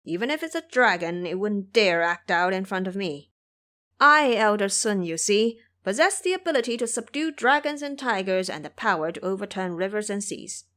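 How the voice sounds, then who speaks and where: A woman's voice: medium pitch, expressive, normal speed, a bit bright, natural voice. A woman voicing a brash, boastful male monkey king with a commanding, exuberant manner in an audio drama. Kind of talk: audio drama character acting.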